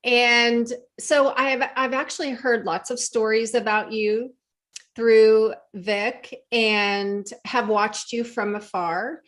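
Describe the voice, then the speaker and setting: A woman hosting an interview podcast, speaking in an effusive, praising way. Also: a little high. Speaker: podcast host.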